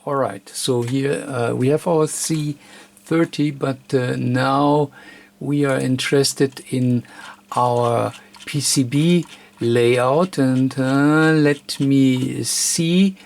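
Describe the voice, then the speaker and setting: A man presenting an unscripted hands-on electronics tutorial, thinking aloud in a halting, hesitant way. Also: mid-range voice, fairly even intonation, gravelly. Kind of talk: unscripted hands-on technical demonstration.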